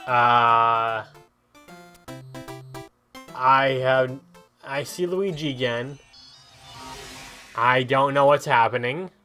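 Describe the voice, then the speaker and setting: A man with an average-pitched voice hosts a casual gaming video. He talks through the play as it comes to him, in a joking, rambling way. Video game music runs behind his voice. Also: drawn-out words, long pauses, sharp tone. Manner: joking, rambling, thinking aloud. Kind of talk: casual let's play commentary.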